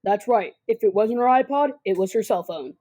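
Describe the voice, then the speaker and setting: A woman's voice: mid-range voice, expressive, normal speed, dark tone, close to an everyday voice. A girl of about twelve narrating a comic suspense radio drama in a theatrical, scripted way. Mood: suspenseful. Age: child about twelve.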